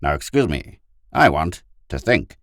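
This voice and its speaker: A man's voice: extremely low pitch, sing-song, unhurried, gravelly, dry recording, clean studio sound, full character voice. A man voicing a thoughtful alien shapeshifter that has become an oak tree, in an audiobook story. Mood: pensive, firm. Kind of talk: audiobook character voice.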